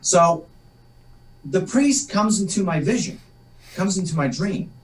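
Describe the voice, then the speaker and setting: A man's voice: sits high, lively intonation, measured pace, slight background noise. Man, echoey and distant. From Massachusetts, USA. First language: Polish. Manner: rambling, self-deprecating joker. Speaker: guest, actor. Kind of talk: casual interview storytelling. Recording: echoey room, distant mic.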